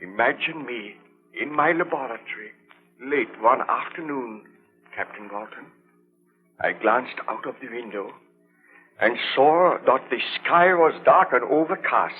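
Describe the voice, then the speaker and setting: Actor as haunted, solemn baron on tinny old radio. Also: male voice, mid-range voice, lively intonation, unhurried, faint music behind, close to an everyday voice. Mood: brooding. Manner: theatrical, solemn, formal diction. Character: exhausted, obsessed baron haunted by his monster. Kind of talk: 1930s radio drama acting. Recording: scratchy, thin 1930s radio broadcast recording.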